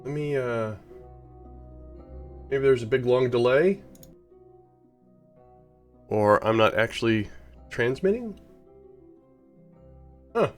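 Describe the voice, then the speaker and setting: A man's voice: mid-range voice, animated, slow pace, long pauses, faint music behind. A man with a head cold giving rambling, casual commentary while live-streaming a space game, often correcting himself.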